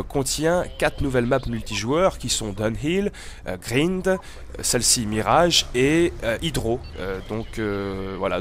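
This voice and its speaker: A man's voice: mid-range voice, a little hiss or hum. A man with a cold giving casual, hesitant, meandering gameplay commentary as the host of a gaming video. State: has a cold.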